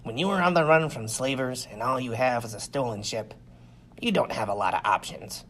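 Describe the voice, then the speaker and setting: A man's voice: mid-range voice, a little fast, a bit bright. A man reading a first-person science fiction adventure story as scripted audiobook narration. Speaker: audiobook reader.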